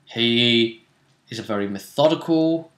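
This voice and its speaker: A man's voice: mid-range voice, expressive, measured pace. A man casually recapping a tabletop role-play tournament as game master, talking emphatically and dramatically, repeating phrases for effect. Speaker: game master and vidcast host. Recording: roomy, echoey room sound.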